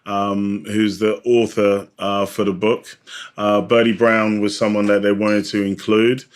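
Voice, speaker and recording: A man's voice: on the low side, level tone, measured pace. A man speaking as a podcast guest, telling stories and explaining in a reflective, conversational way. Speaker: podcast guest, drinks industry advocate. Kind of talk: conversational interview, storytelling.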